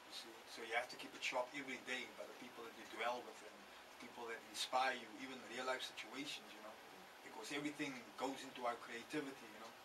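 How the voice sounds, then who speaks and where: A man with a Cape Town English accent, a rapper talking in a radio interview, laid-back, with lots of "you know" and "man". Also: mid-range voice. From South Africa. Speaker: rapper guest. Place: radio studio. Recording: distant mic with noisy background.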